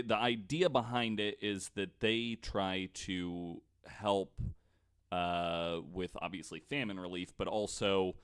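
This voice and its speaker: Low-voiced man explaining in halting podcast chat. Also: fairly bright tone, smooth. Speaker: podcast co-host presenting researched stories. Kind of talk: casual chat, explaining from notes.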